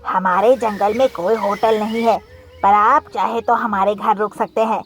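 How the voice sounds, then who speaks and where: A man's voice: sits high, steady tone, normal speed, a bit bright, slight background noise. A man playing a kind, humble, hardworking pigeon in a cartoon story, speaking politely and earnestly. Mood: kind, welcoming.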